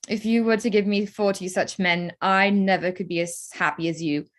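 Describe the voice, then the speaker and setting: Woman as a wry young gentlewoman, mid-pitched. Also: fairly even intonation, moderate pace, natural voice. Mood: playful. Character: witty, teasing young gentlewoman, frank sister. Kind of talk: staged reading of period drama.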